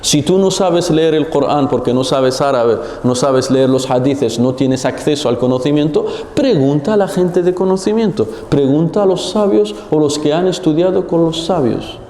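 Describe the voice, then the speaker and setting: A man giving an Islamic religious lesson, animated and full of rhetorical questions. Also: fairly high pitch, moderate pace, few pauses. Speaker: religious teacher. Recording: echoey hall with long reverb.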